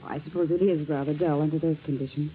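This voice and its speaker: An actress playing a courteous, efficient American secretary in a 1940s radio drama, polite, businesslike and a little flustered. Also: female voice, low-pitched, steady tone, fast, close to an everyday voice. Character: efficient, courteous private secretary, eager about her work. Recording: tinny, noisy old radio recording.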